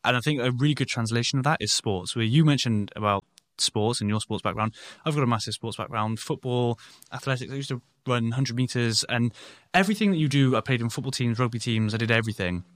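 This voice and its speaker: A man's voice: mid-range voice, fast. A man hosting an interview and explaining his points emphatically. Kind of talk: conversational interview.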